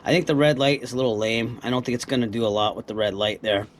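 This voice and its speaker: A man's voice: medium pitch, steady tone, fast, slight background noise. A man giving a casual, plain-spoken product review, explaining things step by step as he talks.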